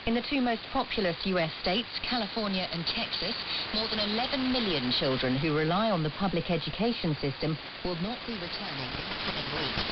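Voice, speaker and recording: A woman reading a formal scripted news bulletin on the radio. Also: medium pitch. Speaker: newsreader. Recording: muffled, very noisy hissing shortwave radio.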